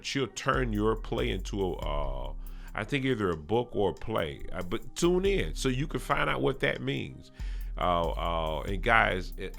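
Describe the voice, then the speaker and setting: A man hosting a podcast, talking casually to live viewers in an earnest, halting way with stammered restarts and rhetorical questions. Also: low-pitched, gravelly, fairly bright tone. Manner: halting, repetitive phrasing with frequent stammered restarts. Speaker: podcast host.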